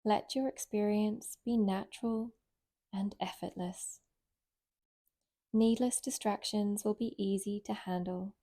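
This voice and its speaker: Slow, mellow woman guiding meditation. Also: medium pitch, fairly even intonation. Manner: gentle, soothing, unhurried with long pauses. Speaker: meditation guide, yoga nidra teacher. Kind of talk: guided relaxation meditation.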